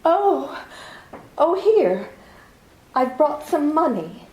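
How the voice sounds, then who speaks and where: A high, lively, breathless voice, with a woman playing a nervous young client who stumbles and pleads as she speaks. Her tone is soft and mellow, and the slow delivery has the feel of 1940s radio drama. The room around her sounds echoey. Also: exaggerated voice. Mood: anxious. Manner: halting, breathless, stammering.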